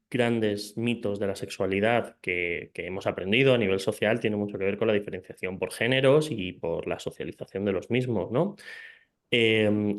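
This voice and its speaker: A man hosting an interview, asking long questions in a hesitant way, searching for words. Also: lowish voice. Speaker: interview host.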